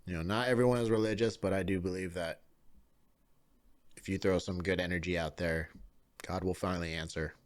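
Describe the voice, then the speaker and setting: A man's voice: low voice, fairly even intonation, fairly quick, long pauses. A man in his late twenties co-hosting a podcast in casual, laid-back chat, laughing often. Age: late 20s. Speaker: podcast co-host.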